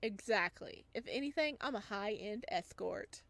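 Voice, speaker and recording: A woman's voice: mid-range voice, lively intonation, normal speed, vocal fry, fairly bright tone, no room sound. Visual novel love interest, played by a woman: young man, playful, sassy, teasing in conversation. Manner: teasing banter.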